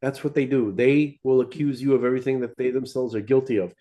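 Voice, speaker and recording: A man's voice: mid-range voice, steady tone, fast talker, mellow. A man in casual, opinionated podcast conversation, speaking bluntly and informally. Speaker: podcast co-host.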